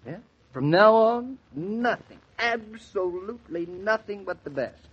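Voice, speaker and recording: An actor playing a teasing, good-humored young husband in a 1940s radio drama, with playful, mock-grand banter. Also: male voice, sits high, expressive, unhurried, husky, full character voice. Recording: muffled 1940s radio recording.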